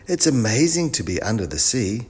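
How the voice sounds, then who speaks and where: A man voicing a lively, anxious schoolchild in a storybook adventure, calling out earnestly. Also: mid-range voice, moderate pace, slightly rough, long reverb tail, voice pushed up. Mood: awed.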